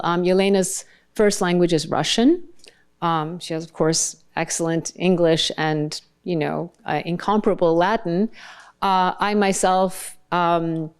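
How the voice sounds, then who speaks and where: A woman whose first language is Bengali, giving an academic lecture with slides and often pausing to search for her words. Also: on the low side, a little mellow, clean tone. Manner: thoughtful, searching for words mid-sentence. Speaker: translator giving a keynote talk.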